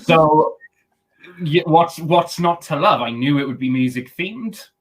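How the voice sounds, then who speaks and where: A Welsh-speaking man in his thirties with a Welsh accent, a comedian guest giving deadpan joke answers over a video call. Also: a little high, unhurried, poor audio.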